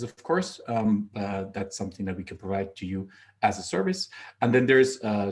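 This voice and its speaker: A man's voice: lowish voice, darkish tone. A middle-aged man, presenting his company's services in a webinar over a video call, with many ums and uhs and restarts. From Finland. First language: Finnish. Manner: frequent um and uh fillers, restarts mid-phrase. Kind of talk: product presentation.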